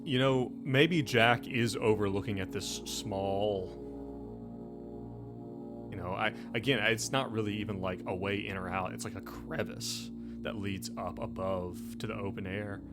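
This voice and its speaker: A man running a tabletop game, improvising the narration haltingly and repeating himself for suspense. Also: on the low side, fairly even intonation, over music. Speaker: game master.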